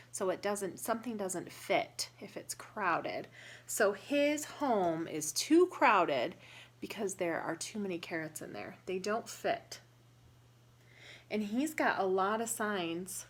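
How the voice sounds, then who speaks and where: A woman reading a picture book aloud to young children, pausing to explain and ask questions in a deliberate, instructive way. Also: medium pitch, lively intonation.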